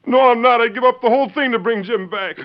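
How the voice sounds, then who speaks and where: A young American cowboy son with a high-pitched voice, earnest and weighed down by guilt. He speaks haltingly and pleadingly in 1940s radio western style, on a dull, band-limited recording. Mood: anguished. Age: young adult.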